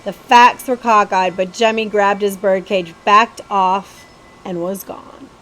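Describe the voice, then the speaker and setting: A woman's voice: mid-range voice, fairly even intonation, slow, a bit bright, poor audio. A woman reading a children's novel aloud as a storybook narrator.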